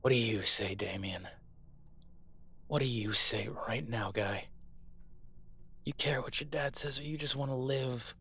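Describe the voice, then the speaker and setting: A man's voice: mid-range voice, measured pace, pauses often, pushed and bright, slightly rough, full character voice. A man playing a captive werewolf alpha soldier in an audio roleplay, defiant, protective and sardonic as he urgently argues and swears. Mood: earnest pleading. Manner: profane, sardonic, urgent persuading.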